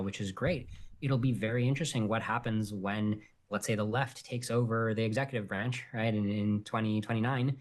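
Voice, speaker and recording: A man speaking as a guest on a podcast, talking in a hedging way with many fillers. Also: on the low side. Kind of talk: casual interview chat.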